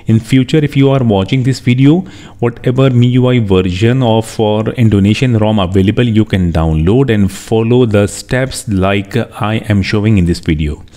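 Mellow-voiced man giving a tutorial. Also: fairly low pitch, moderate pace. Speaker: tech tutorial host. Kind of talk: step-by-step tutorial narration.

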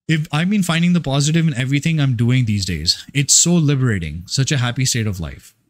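A man's voice: medium pitch, expressive, brisk. A man who works as a fitness and life coach, giving a casual motivational monologue in a rambling, profane and emphatic way.

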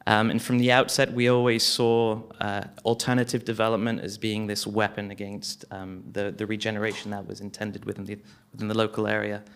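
A man's voice: lowish voice, little pitch movement, a little fast, gravelly, hardly any pauses, clean tone. A young man, a community campaigner from London, speaking on a panel in a small hall with a handheld mic, hesitant with many restarts. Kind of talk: panel discussion.

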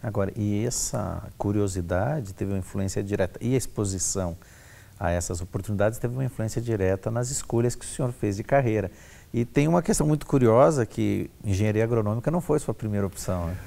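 A man's voice: on the low side, quick pace, vocal fry, mellow. A middle-aged man interviewing a professor, asking polite, formal questions. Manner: courteous, addresses guest formally. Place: furnished office, indoors.

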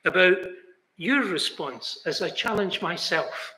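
A man's voice: high voice, expressive, a little slow, bright, forceful tone. Older man preaching earnestly, echoey chapel. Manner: earnest, rising to exclamations. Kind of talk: sermon. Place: chapel hall, indoors. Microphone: fixed camera, seated mid shot.

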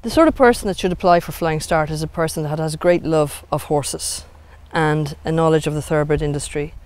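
A woman's voice: low-pitched, fairly even intonation, a little fast, a little mellow. A middle-aged woman, a programme manager, explaining in a composed interview outdoors on a grassy field. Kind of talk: interview answers.

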